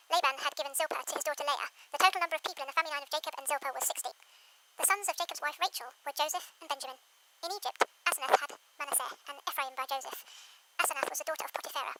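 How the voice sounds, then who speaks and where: A female narrator doing a scripted Bible reading, with faint noise behind the voice. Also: high voice.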